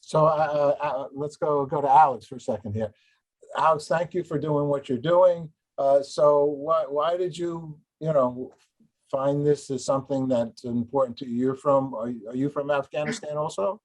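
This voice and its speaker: A man's voice: a little high, fairly even intonation, moderate pace, a little mellow, poor audio. A man hosting an interview, asking his questions with some hesitation. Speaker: host.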